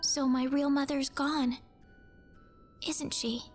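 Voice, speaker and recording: A gentle, earnest teenage heroine from a video game. Her voice is very high and wistful, with music playing underneath. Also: flat delivery, takes it slowly. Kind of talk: video game dialogue acting.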